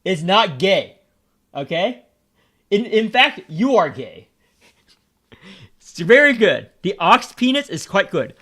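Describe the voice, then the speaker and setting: A man's voice: sits high, big pitch swings, slow pace, pauses often, sharp tone. A young American man chatting casually on a stream, brash and joking, crude and provocative. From United States. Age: young adult.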